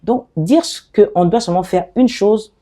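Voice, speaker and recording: A middle-aged woman from the DR Congo, an interview guest who talks about her work in an animated, expressive way and often restarts her phrases. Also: medium pitch, normal speed. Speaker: guest, fashion designer and author. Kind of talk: conversational interview.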